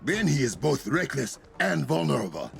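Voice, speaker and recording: Resolute warrior king: African accent, gravelly, formal and measured yet widely inflected, video game drama. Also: medium pitch, big pitch swings, a bit bright, heavily acted. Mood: commanding. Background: cinematic game music.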